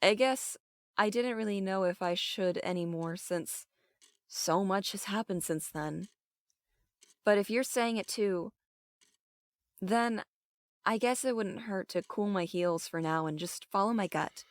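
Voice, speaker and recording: A woman's voice: mid-range voice, a little slow, long pauses, dry recording, close to an everyday voice. A woman playing a guarded, conflicted police captain, speaking informally and earnestly in an audio drama. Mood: conflicted, uneasy.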